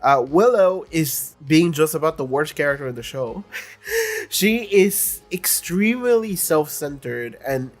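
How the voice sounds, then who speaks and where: A man's voice: on the high side, animated, a little slow. Congested young man chatting bluntly. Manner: chatty, blunt, swears, laughs often. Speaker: reaction video host. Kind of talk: casual reaction commentary.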